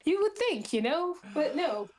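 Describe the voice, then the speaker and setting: A woman's voice: high voice, sing-song, unhurried, crowd noise. A woman chatting casually as a player in a tabletop game, teasing and joking with the others. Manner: teasing, giggly.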